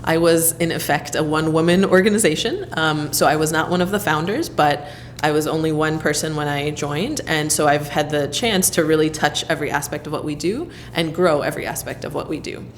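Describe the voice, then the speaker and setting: A woman from the United States with a notably low voice answers interview questions at an easy conversational pace. She talks thoughtfully, filling her pauses with ums and you-knows. A faint hiss sits under her voice. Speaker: interviewee, leader at a civic nonprofit hub.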